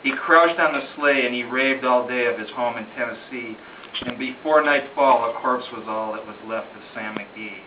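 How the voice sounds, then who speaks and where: A man's voice: medium pitch, moderate pace. A man recites a narrative poem in a dramatic, storytelling way. His phrasing is rhythmic and emphatic, like an amateur reading aloud at home. The sound is roomy and a little dull, picked up from a distance over faint noise. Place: room in a home, at a gathering.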